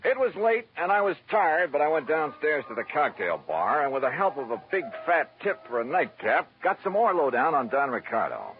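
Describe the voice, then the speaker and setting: Casting: world-weary insurance sleuth for old-time radio, brisk delivery with big melodic swings, dull vintage sound. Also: male voice, fairly high pitch, big pitch swings. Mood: wry, brisk narrating. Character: hardboiled, wry male freelance insurance investigator. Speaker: lead actor and first-person narrator. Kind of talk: golden-age hardboiled radio drama acting. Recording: dull vintage radio recording, music behind.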